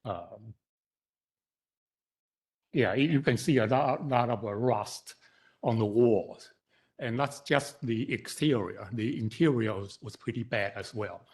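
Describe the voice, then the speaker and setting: A man in his sixties with a Taiwanese accent, a consulting engineer presenting to a borough council, halting and full of fillers and repeated words. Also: medium pitch, husky. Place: council chamber.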